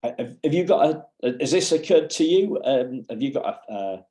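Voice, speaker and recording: A man's voice: medium pitch, animated, warm tone. A male podcast interviewer who halts and repeats words as he forms his questions. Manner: halting, repeats words while forming questions. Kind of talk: casual interview conversation.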